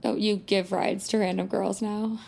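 Scornful teenage girl with gravelly fry. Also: mid-range voice, little pitch movement, normal speed, a little mellow. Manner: scornful, blunt. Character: hostile, blunt, melodramatic high school senior girl. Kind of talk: audio drama acting.

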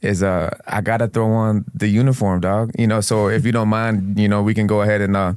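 A man's voice: lowish voice, flat delivery, fairly quick, darkish tone, clear, resonant tone, no room sound, clean studio sound. A man raised in Florida, a podcast guest talking in laid-back street slang about his past. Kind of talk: casual interview chat.

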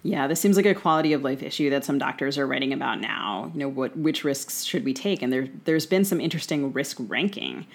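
A woman's voice: a bass voice, lively intonation, fairly quick, hardly any pauses. A woman with an American accent co-hosting an interview podcast, conversational, articulate and analytical. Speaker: podcast co-host.

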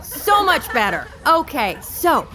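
Bright, high-voiced peppy tour guide. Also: female voice, big pitch swings, takes it slowly, slight background noise, full character voice. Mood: peppy, teasing. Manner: chirpy, coaxing, informal. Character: peppy, teasing female company tour guide. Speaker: company tour guide in an audio drama. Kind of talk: scripted acted tour talk to students.

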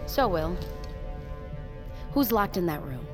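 A woman's voice: fairly low pitch, big pitch swings, takes it slowly, over music. An actress playing a sardonic, indignant woman who takes charge in an audio drama. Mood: shocked, accusing. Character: sardonic, indignant woman taking charge against a corrupt boss.

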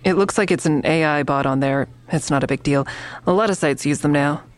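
An actress playing a wry, persistent amateur investigator in an audio drama, speaking in a matter-of-fact, persuasive way. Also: female voice, sits low, brisk, natural voice. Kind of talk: naturalistic audio drama dialogue.

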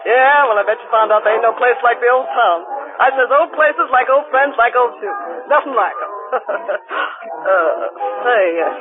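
A woman's voice: fairly high pitch, normal speed, phone-quality sound, lightly acted. An actress playing a brassy, chatty woman who runs a drinking joint in an old-time radio drama, slangy and over-familiar. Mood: breezy chatter. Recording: thin old radio recording. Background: music.

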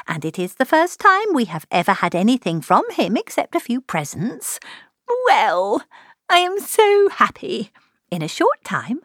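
A woman voicing an excitable, silly middle-aged mother in an audiobook, gushing and fussing in exclamations. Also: mid-range voice, dry recording, clean studio sound. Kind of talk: audiobook character voice.

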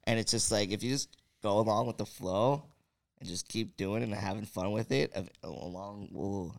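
A man's voice: on the low side, normal speed, creaky. A laid-back man in a casual chat, talking about going with the flow.

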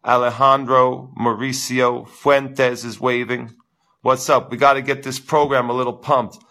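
Booming-voiced male tennis coach ranting. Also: measured pace. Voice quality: big booming voice. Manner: animated ranting, repeats words, fillers like "you know". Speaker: tennis coach, show host. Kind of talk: live-stream chat with viewers.

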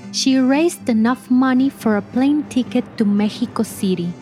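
Woman narrator for a life story: unhurried, deliberate read, mid-pitched, faint music underneath. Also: darkish tone. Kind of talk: scripted children's biography narration.

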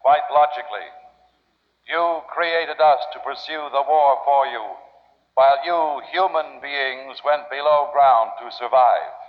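An old, thin radio recording with long, hollow reverb carries a man acting as a logical robot leader. He is calm and formal, explaining things in measured, didactic phrases like a chairman in a fifties science fiction radio play. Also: a little high, muffled recording, lightly acted. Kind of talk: 1950s radio drama acting.

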